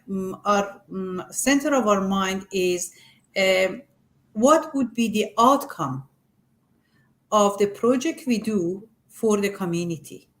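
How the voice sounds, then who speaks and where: A middle-aged or older woman from Iran with a Persian accent, speaking non-native English haltingly and searching for words as she explains her work in an interview. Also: mid-range voice. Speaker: arts group representative being interviewed.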